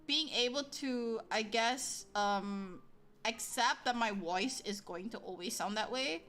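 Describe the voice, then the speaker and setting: A woman's voice: a little high, slow pace. A woman livestreaming and chatting casually with her viewers, rambling with lots of fillers and poking fun at herself. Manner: rambling, lots of likes and ums, self-deprecating. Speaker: livestreamer reading chat. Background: soft background music.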